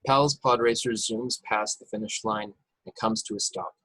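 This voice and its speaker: A man's voice: on the low side, fairly even intonation, moderate pace, bright, forceful tone, no echo, low-quality recording. A man reading scripted action narration and stage directions at an online table read. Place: indoors, remote video call table read.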